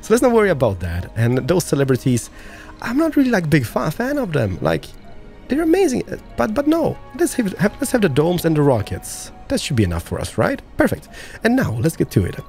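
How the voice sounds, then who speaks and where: A hoarse man losing his voice, hosting excitable gameplay commentary with sudden high-pitched exclamations. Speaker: gaming channel host.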